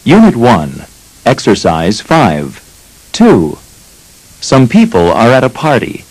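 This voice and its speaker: A man reading instructions as narrator of a language course, slow and deliberate. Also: mid-range voice, wildly swooping pitch, a little mellow, no room sound, rough recording.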